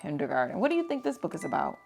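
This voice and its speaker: A woman reading children's picture books aloud in an expressive, dramatic and deliberate storytelling style. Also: low-pitched, gravelly, faint music behind.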